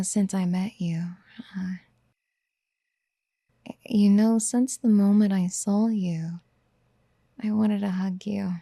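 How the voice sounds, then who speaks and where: A woman playing a doting, obsessive would-be mother in a soft-spoken ASMR roleplay, breathy and often near a whisper, tenderly coaxing. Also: medium pitch, no echo. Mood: shyly eager. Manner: tender, coaxing, hesitant pet-name murmuring. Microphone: close microphone in a dry, quiet space.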